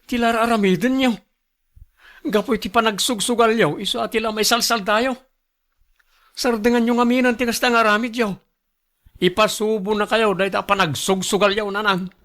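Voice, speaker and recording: Guy acting grown ilocano son in a radio drama, high pitched for a man, stern, fed up, scolding. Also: steady tone, normal speed, a bit bright. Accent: Filipino, Ilocano. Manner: scolding, firm. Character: stern adult son, exasperated, reproachful.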